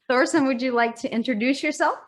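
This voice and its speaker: A woman hosts a casual interview in an upbeat, enthusiastic way. Her voice sits a little higher than most. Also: fairly quick. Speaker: interview host.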